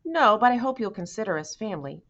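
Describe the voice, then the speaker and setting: A woman's voice: medium pitch, expressive, fast talker, a bit bright, voice pushed up. A friendly storybook cook, a hearty and welcoming woman, voiced by a woman reader. She talks cheerfully on a slightly dull recording.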